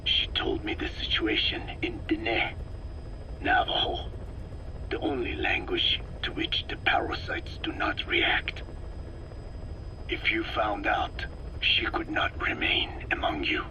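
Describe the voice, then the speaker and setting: Grave old keeper of secrets: slow, low, deliberate, solemn game drama. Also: male voice, expressive, poor audio. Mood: grave, hushed. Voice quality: whispery, gravelly. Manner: slow, deliberate, halting delivery.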